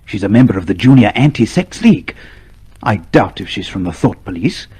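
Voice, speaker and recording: An actor playing a wary, cynical inner voice in a radio drama, insistent and pessimistic. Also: male voice, on the low side, expressive, fairly quick, mellow, slightly rough. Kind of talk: old-time radio drama acting.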